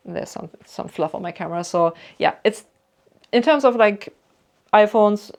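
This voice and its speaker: Low, gravelly woman with a German accent. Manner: chatty and rambling, with many "like" and "um" fillers. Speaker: vlogger answering viewer questions. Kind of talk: casual Q&A chat to camera.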